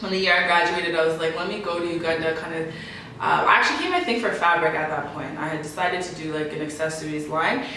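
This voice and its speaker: A woman's voice: low-pitched, fast talker, distant mic, slight background noise. A young woman from Canada, answering interview questions in a casual way and laughing often. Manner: laughs often, animated, chatty. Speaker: interview guest. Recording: echoey room, voice from off mic.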